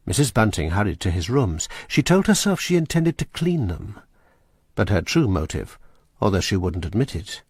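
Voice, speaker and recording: A man narrating a radio drama in a measured, suspenseful way. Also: on the low side, slightly rough, no room sound, clean studio sound.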